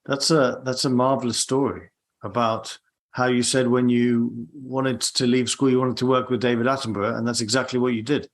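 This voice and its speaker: A man's voice: medium pitch, flat delivery, moderate pace. A man hosting an interview, asking friendly, easygoing questions. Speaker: podcast host. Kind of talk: casual interview chat.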